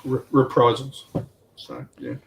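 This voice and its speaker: A man's voice: medium pitch, very animated, slow pace. A man chatting casually as a panellist on a livestream. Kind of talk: casual chat. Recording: clearly noisy background.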